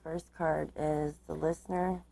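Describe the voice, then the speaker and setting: A woman giving a tarot card reading, reading aloud and explaining in a measured, halting way, her voice slightly starting to give out. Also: deep voice, level tone, vocal fry, heavy background noise. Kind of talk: tarot reading, part read aloud from cards, part informal explanation.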